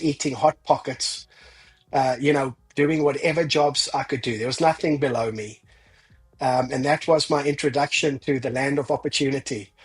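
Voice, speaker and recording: A man's voice: medium pitch, breathy edge. A man in his forties with a South African accent, a podcast guest telling his life story with self-deprecating humor. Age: 40s. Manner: self-deprecating, laughs at his own stories. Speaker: guest, ranch founder. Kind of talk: casual interview storytelling.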